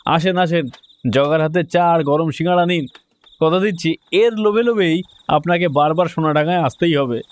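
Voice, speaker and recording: Man acting a high-voiced, genial villager. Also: moderate pace, natural voice. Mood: welcoming. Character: friendly, welcoming village tea-shop regular. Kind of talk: audio story acting.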